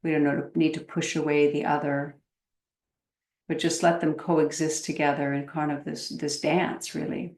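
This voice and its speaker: Slow, low-voiced woman guiding meditation. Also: little pitch movement, echoey room. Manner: gentle, unhurried, with long pauses. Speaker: meditation teacher. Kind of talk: guided meditation.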